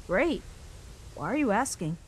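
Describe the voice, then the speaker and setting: A woman voicing a caring human child in a comic dub, a protective older sibling who speaks gently and reassuringly. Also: medium pitch, sing-song, measured pace, slight background noise. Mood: cheerful, reassuring.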